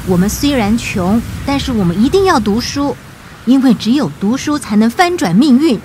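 A woman's voice: on the high side, expressive, moderate pace, noisy background, natural voice. A woman acting a devoted, determined middle-aged immigrant mother in an audio drama, speaking earnestly and insistently. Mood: firm, encouraging. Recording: music behind the voice. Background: background music.

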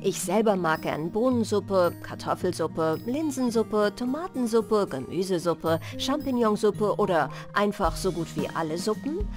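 A woman in her thirties reading a bedtime fairy tale as an audiobook host, with unhurried, expressive storytelling, close on a studio microphone. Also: medium pitch, music in the background. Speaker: audiobook reader and channel host. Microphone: large studio microphone, close.

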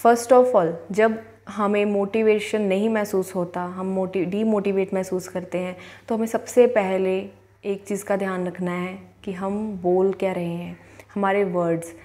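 A young woman who works as a law of attraction coach, giving a motivational talk to camera in an earnest way and slowly repeating affirmations. She speaks indoors into a lapel mic. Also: mid-range voice, little pitch movement, warm tone. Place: indoors, in front of a plain wall. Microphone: clip-on lapel mic.

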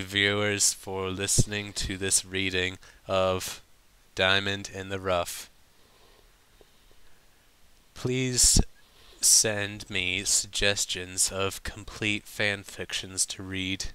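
A man reading fan fiction aloud as a storybook narrator, with some halting stumbles over the lines. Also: low-pitched, level tone, bright, forceful tone, clean tone.

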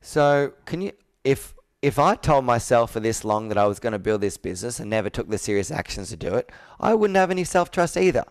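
A man's voice: mid-range voice, big pitch swings, fairly quick, hardly any pauses, dry recording, studio quality. A man in his thirties working as a sales coach, talking persuasively and assertively, with probing rhetorical questions. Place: indoor office with a flip chart, on a video call.

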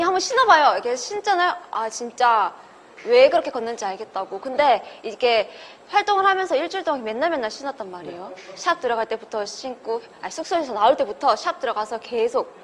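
A young woman telling a story on a variety show, acting out quotes in an animated way. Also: fairly high pitch, brisk, big-room sound, a little hiss or hum.

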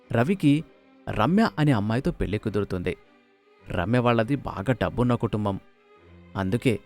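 A man's voice: medium pitch, darkish tone. A man narrating a scripted moral story as a storyteller, recorded close on the mic. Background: music.

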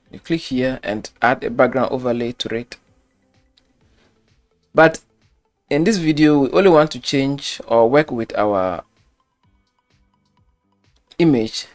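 Man, tutorial narrator, unhurried delivery, faint music underneath, methodical and repetitive. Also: medium pitch. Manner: slow step-by-step instruction, repeats phrases. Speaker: web design tutor. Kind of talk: screen-recorded software tutorial.

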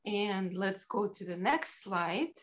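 Slow-paced woman from Ukraine presenting. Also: mid-range voice, expressive. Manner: unhurried, halting with frequent ums. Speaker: sculptor presenting her work. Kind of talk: slide presentation on a video call. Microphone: video call.

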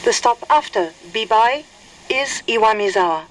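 Woman reading formal station announcement, slow and careful, slight train hum. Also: a little high, animated, poor audio. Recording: train speakers with faint noise. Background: train cabin hum.

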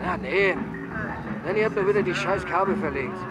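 A man playing a brash, excitable young party organizer in slangy audio drama dialogue. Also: high voice, normal speed, lightly acted. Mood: hurried, excitable. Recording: loud music behind.

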